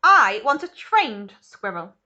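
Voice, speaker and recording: A woman voicing a spoiled, demanding little girl in a storybook reading, shrill and whiny. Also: expressive, normal speed, pushed and bright, muffled recording.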